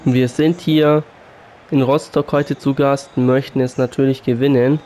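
A man with a cold and a sore throat, calmly commentating his own football video game match. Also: medium pitch, a little slow, slight background noise. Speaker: gaming YouTuber. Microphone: USB condenser mic. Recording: faint game music behind.

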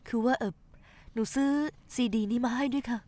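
A woman dubbing a gentle young daughter-in-law in a television drama, who speaks softly, sweetly and politely. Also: fairly high pitch, fairly even intonation, a little fast, natural voice. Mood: tender. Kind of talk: dubbed television drama acting.

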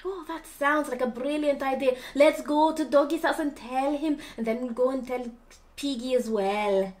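Woman playing a sensible, high-voiced unicorn. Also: normal speed, darkish tone, natural voice. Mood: keen. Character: sensible, patient unicorn friend calming others.

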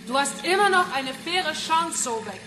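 A woman's voice: sits high, lively intonation, a little slow. An actress playing a vengeful young female hacker in a radio crime drama, controlled and taunting. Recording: echoey room with faint noise.

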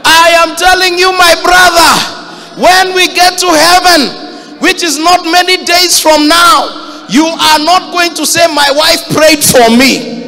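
A Kenyan man in his thirties preaches with a very high, sharp, forceful voice, driving home each point with shouts. He speaks into a handheld mic, and his voice rings with the long reverb of a big church hall. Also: steady tone, unhurried. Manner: fiery, shouting emphasis, mixes Swahili quotes. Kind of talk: sermon.

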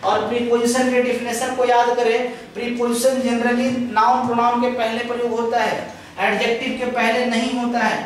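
A young man teaching a grammar lesson at a chalkboard, explaining step by step, drawing out example sentences slowly, and answering his own questions. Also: extremely high pitch, completely flat delivery, distant mic, slight background noise. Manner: mixes English phrases into Hindi explanations; asks questions and answers them himself. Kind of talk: classroom grammar lecture at a chalkboard. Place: classroom with a chalkboard. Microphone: far camera. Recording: echoey room recorded from afar.

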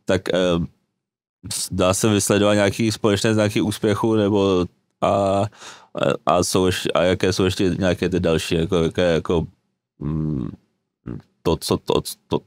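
A man in his thirties hosting a panel discussion in a small studio, asking questions haltingly while searching for his words. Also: deep voice, little pitch movement, dry recording. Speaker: host. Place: small studio with wooden table. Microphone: desk mic on boom arm.

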